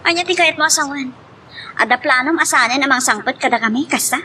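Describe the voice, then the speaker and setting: A woman's voice: high-pitched, fast talker, pushed and bright, a little hiss or hum. A woman playing an aggrieved, pleading young wife and mother in an audio drama, plaintive and questioning. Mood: hurt, confronting. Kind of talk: Ilocano radio-style drama acting.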